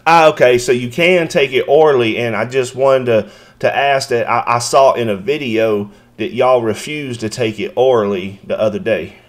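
A man's voice: mid-range voice, normal speed. Polite, folksy man on a call. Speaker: citizen auditor making a records request by phone. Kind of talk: phone conversation. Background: phone call.

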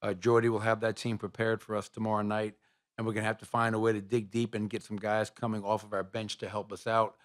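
A middle-aged man with a low voice fields questions from reporters after a game, speaking into a table microphone. His delivery stays flat and level, steady and measured, with plenty of "uh" and "you know" between points. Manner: measured, even answers with frequent fillers. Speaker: basketball head coach. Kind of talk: postgame press conference answers. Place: press room in front of a sponsor backdrop.

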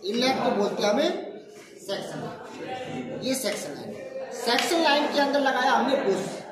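A man's voice: very high-pitched, animated, moderate pace. Male workshop instructor, 40s, step-by-step explanations, filmed from a distance, crowded echoey workshop. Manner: explains step by step, keeps asking if students understood. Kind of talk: hands-on technical lesson to students. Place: crowded indoor workshop, students standing around. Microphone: far phone camera. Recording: echoey room, voice from afar, crowd noise.

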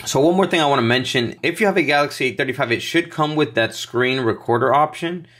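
A man's voice: medium pitch, quick pace, a bit bright. Casual male tech tutorial host. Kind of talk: casual step-by-step tutorial narration.

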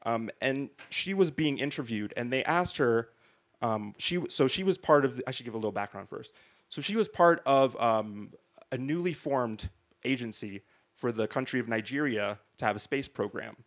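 A man's voice: medium pitch, lively intonation, normal speed. A man moderating a panel discussion on stage in front of an audience, talking into a handheld mic in a conversational way, often asking "right?" and restarting his sentences. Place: large hall stage before an audience.

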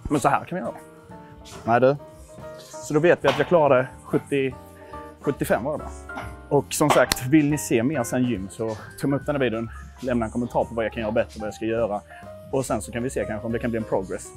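A man in his twenties from Sweden chatting casually to viewers in a gym vlog, informal, sweary and self-deprecating. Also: medium pitch. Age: 20s. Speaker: vlogger testing his lifts at a gym. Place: indoor gym. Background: music.

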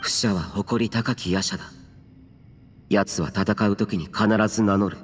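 男性声優が演じる低めの声の厳格な若き仙人戦士. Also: male voice, lowish voice, steady tone, unhurried, natural voice. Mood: solemn, resolute. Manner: terse, solemn, archaic speech. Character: stern, aloof immortal male warrior guardian, youthful, burdened. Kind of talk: Japanese game voice acting. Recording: long reverb, faint music behind.

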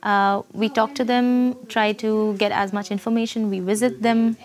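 A woman's voice: fairly high pitch, flat delivery, moderate pace. A woman in her twenties from India with an Indian accent, speaking unscripted as a design student in an interview. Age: 20s. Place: busy classroom studio, indoors. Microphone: lapel mic. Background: soft background music.

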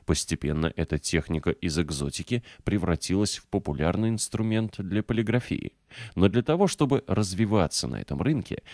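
A man narrating a nonfiction audiobook from a script in a measured, clearly articulated way. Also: lowish voice, a little mellow, dry recording, clean studio sound.

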